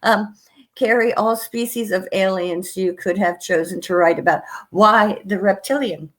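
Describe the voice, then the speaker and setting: A woman hosting an interview show, gushing and excitable, laughing often, her voice lower and rougher than usual from a cold. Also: unhurried. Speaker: show host. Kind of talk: casual interview chat.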